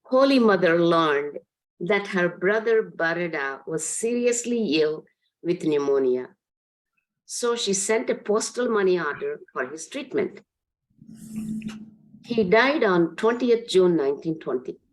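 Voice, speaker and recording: A woman with a hoarse voice, the class reader, earnestly reading a spiritual biography aloud in a steady, measured way. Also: on the low side, poor audio.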